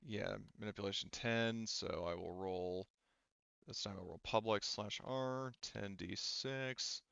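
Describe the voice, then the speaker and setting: A low-voiced man acts as game master for a tabletop roleplaying session. He improvises the narration in a halting, rambling, casual way, and the close, dry recording sounds a little dull. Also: gravelly, a bit bright, clear, resonant tone, dry recording. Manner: halting, rambling, informal and profane.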